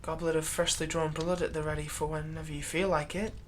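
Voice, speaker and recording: A man's voice: fairly high pitch, little pitch movement, brisk, a little hiss or hum, lightly acted. A man playing a servile, nervous vampire's thrall in a roleplay audio drama, stammering and deferential. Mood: eager, servile. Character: servile, nervous male vampire's thrall, bitterly eager to please.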